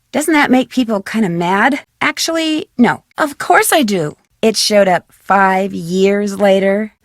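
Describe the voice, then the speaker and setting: An actress voices a young female detective for a video game, her voice high and perky. She is polite, curious and eager, asking questions earnestly. The recording is dry and close. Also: no room sound, natural voice. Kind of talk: video game dialogue, short separate lines.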